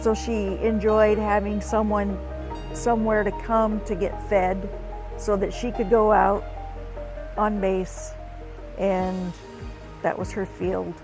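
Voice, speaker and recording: A woman with a medium-pitched voice shares personal stories in the style of a documentary interview. Faint music runs underneath her words. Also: little pitch movement, slow, pauses often, low-quality recording. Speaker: military hospitality ministry worker. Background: background music.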